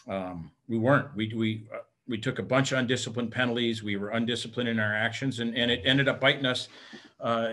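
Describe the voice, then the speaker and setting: A man's voice: mid-range voice, a bit bright. A middle-aged man answers reporters after a game as head coach. He speaks plainly and frankly, often restarting sentences and repeating words. He is on a webcam video call. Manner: halting, repeats words, many fillers. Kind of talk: postgame press conference answers. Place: indoor room in front of team backdrop.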